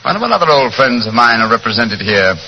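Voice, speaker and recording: A man's voice: mid-range voice, very animated, fast, sharp tone. A man acting a shrewd, urbane English detective in a 1940s radio drama, speaking precisely and theatrically. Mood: wry, intrigued. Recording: noisy, muffled 1940s radio recording.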